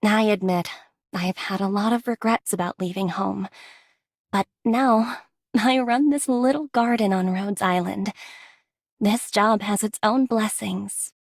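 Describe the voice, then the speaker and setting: Gentle, slow-speaking gardener. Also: female voice, mid-range voice, no room sound, clean studio sound. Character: gentle, serene woman gardener who loves flowers. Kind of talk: scripted game character voice line.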